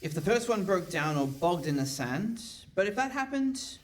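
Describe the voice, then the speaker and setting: A man playing a deferential, hesitant, deadpan detective sergeant in a comic radio play. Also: high-pitched, moderate pace. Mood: earnest, hesitant. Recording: live hall stage, distant mic, faint noise.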